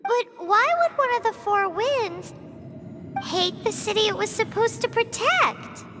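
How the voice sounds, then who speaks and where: A woman's voice: extremely high pitch, animated, a little slow. A woman playing a tiny, childlike fairy companion in a video game, chatty and perky, eager and curious. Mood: puzzled. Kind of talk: video game character acting. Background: game music.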